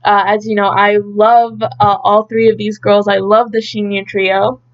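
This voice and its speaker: A woman's voice: mid-range voice, little pitch movement, a little slow. A woman hosting an idol news update as a casual recap, chatty and a bit hesitant as she thinks aloud, on a slightly dull home recording.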